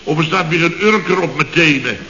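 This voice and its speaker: A man's voice: fairly high pitch, brisk, bright, forceful tone, reverberant. An actor playing a blustering, boastful firm boss who tells his mishaps with exasperation, in a 1960s radio comedy. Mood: exasperated. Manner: exasperated comic storytelling, keeps exclaiming. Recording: lo-fi old radio recording.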